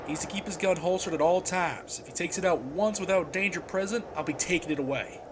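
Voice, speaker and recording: A man acts out an earnest father in charge of a survivor camp in a comic dub. His voice sits quite high, and he talks firmly and reassuringly. There is clear background noise behind him. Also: fast talker, close to an everyday voice. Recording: noticeable background ambience noise.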